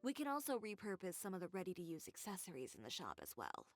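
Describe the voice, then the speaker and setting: A cool, dry fashion designer. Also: female voice, lowish voice, quick pace, faint music behind. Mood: resolute. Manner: calm, composed, dryly sardonic. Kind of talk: game voice acting.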